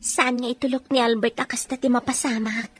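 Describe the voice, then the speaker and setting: A woman playing a lovelorn, longing mother in a drama, speaking earnestly with a husky voice. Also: a little high, little pitch movement, a little fast. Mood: yearning. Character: lovelorn, longing mother separated from her beloved. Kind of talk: Ilocano radio-style drama acting.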